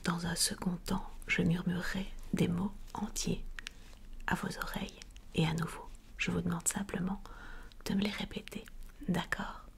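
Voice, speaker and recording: A woman speaking softly and deliberately in an ASMR medical roleplay, playing a polite doctor who guides a patient step by step. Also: fairly low pitch, level tone, creaky, slightly rough. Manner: soft, deliberate, formally polite, explaining step by step. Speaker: ASMR creator playing a doctor giving an exam.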